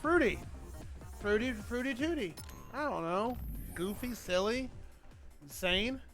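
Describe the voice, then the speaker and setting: A man's voice: high-pitched, animated, a little slow, a bit bright, faint music behind. A man chatting casually as a game streamer, rambling and teasing in a playful way over cutscenes.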